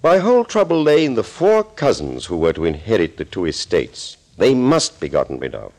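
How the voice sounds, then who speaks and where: Male mad doctor mastermind for 1940s radio thriller: low voice, sweeping intonation, urbane and amused. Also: huge pitch swings, normal speed, a little mellow, exaggerated voice. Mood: smug, explaining. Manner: urbane, amused, theatrical, mockingly courteous. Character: suave, gloating mad doctor, a master villain and impersonator. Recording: old scratchy 1940s radio recording.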